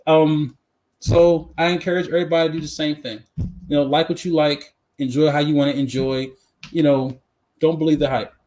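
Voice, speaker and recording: A man's voice: fairly high pitch, flat delivery, moderate pace, darkish tone, rough recording. A man chatting casually and informally as a podcast co-host, giving his opinions and repeating phrases for emphasis. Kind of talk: casual live podcast chat. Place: live podcast.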